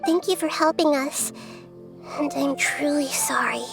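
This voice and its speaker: A woman voicing a small, gentle girl goddess who explains things in a measured, earnest way in a video game. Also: high-pitched, gravelly. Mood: apologetic. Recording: music behind the voice.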